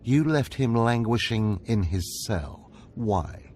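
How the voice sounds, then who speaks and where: Game mentor-villain: stern Sith overseer, lowish imperious voice, light ambient noise. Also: male voice, very animated, slow pace, a little mellow. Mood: stern, demanding. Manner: imperious, clipped, lecturing. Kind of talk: video game cutscene acting.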